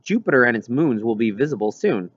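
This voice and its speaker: A man's voice: medium pitch, animated, brisk, slightly rough, no room sound. A man voicing a loyal, eager young boy who is questioning and sympathetic in an audiobook. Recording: dull, lo-fi recording.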